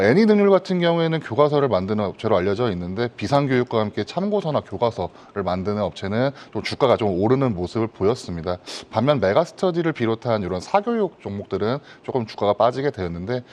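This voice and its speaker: A man's voice: fairly low pitch, a little mellow. A man giving stock commentary as a guest analyst on a broadcast, speaking in a formal, polite, hedging manner.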